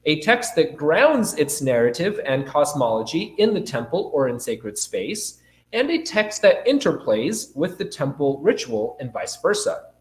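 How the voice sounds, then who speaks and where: Animated young man with a cold, echoey room. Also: fairly high pitch. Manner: formal, measured delivery. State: fighting a cold and cough. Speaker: conference speaker, academic presenter. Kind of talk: scholarly paper read aloud. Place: indoor conference room. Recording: roomy, echoing lecture space.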